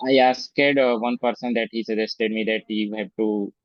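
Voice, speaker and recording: Man, halting and hesitant, fairly low voice, dull lo-fi video-call sound. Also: fairly even intonation, no room sound. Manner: halting, hesitant, many fillers and restarts. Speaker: guest, English learner. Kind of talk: casual video-call interview.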